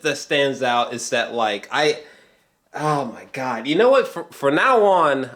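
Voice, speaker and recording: A man's voice: medium pitch, fairly bright tone. A man chatting casually as an amateur film reviewer, geeky and gushing, swearing freely and often stumbling back over his words. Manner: halting, repeats himself, often breaks into chuckles.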